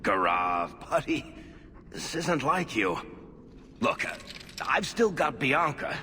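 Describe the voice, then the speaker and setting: An actor playing a roguish dwarf adventurer in a video game, sardonic and wisecracking. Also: male voice, mid-range voice, big pitch swings, slow, sharp tone, in a large hall, a little hiss or hum, exaggerated voice. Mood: coaxing, resolute. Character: wry, roguish male dwarf adventurer and storyteller. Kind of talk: conversational video game acting.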